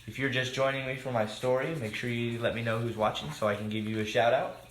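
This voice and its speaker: A man reading a picture book aloud to young children, expressive, with casual asides to his listeners. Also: mid-range voice, fast, slight background noise. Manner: expressive, simple addresses to children. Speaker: children's story time reader. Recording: echoey room.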